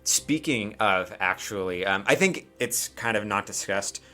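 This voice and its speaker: A man with a fairly low, forceful voice serves as game master for a tabletop game. He improvises his narration in an informal, halting way, often breaking off into asides. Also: expressive, faint music behind.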